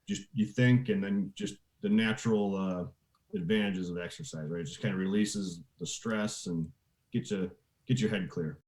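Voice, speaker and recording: A man's voice: low voice, moderate pace, darkish tone. A man giving casual interview answers as a guest, plain-spoken with hesitant starts, in a roomy, echoey recording. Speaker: podcast guest, real estate investor.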